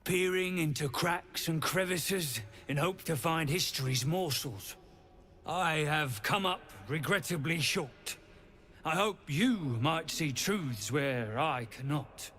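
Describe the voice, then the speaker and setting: A curious underground scholar from a video game. He speaks very slowly in a lightly high voice. His manner is formal, musing and quaintly courteous. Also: a bit bright. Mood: courteous, hopeful. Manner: measured, musing, ornate and formal. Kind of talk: video game character acting.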